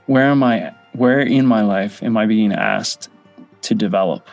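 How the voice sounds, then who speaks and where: A man guiding a meditation, speaking in short measured phrases with pauses between them. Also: lowish voice, a little mellow, lo-fi sound. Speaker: meditation guide. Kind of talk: guided meditation. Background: background music.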